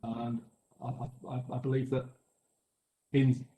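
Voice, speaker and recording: Man, expert witness, measured, restarts sentences, mellow tone, roomy sound. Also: medium pitch, flat delivery. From England. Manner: measured, hesitant with frequent restarts. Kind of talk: inquiry testimony.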